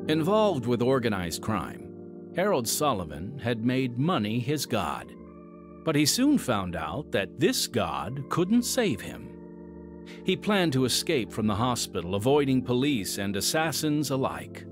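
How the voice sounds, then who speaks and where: An American man narrates as a podcast host. His mid-range voice swings dramatically in pitch as he addresses listeners theatrically, over clearly audible music. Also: very animated, a little slow.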